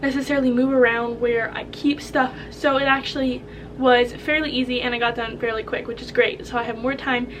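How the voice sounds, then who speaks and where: A woman's voice: on the high side, little pitch movement, fairly quick, noisy background. Chatty young woman with a head cold. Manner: chatty, friendly, upbeat, with regional phrasing like "needs organized". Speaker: vlogger. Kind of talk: casual chat to camera. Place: home kitchen.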